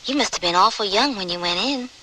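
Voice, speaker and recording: A woman's voice: medium pitch, animated, quick pace, pushed and bright. A woman playing a forthright, folksy young farm girl in an old-time radio western. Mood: curious, blunt. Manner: folksy, blunt. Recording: slightly noisy radio drama recording.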